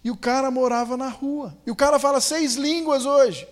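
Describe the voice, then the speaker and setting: A man's voice: extremely high pitch, fairly even intonation, normal speed. A man preaching a sermon, telling a story with rising, emphatic build-ups.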